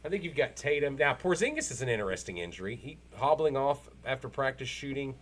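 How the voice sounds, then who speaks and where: A man hosting a casual, unscripted sports talk show, rambling and halting as he repeats himself. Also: mid-range voice, sing-song.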